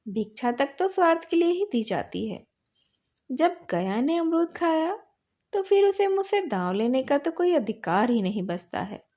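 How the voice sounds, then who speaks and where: A woman tells a story in the first person. Her voice sits very high and swings widely in melody, while the delivery is unhurried, like bedtime narration. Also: sing-song, darkish tone.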